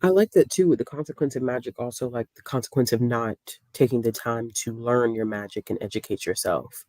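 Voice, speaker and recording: A woman's voice: very deep voice, fairly quick, darkish tone, no room sound. A woman chatting casually about books on an online call, emphatic and sassy, with playful storytelling. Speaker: book discussion co-host. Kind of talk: casual book chat. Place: live online video call.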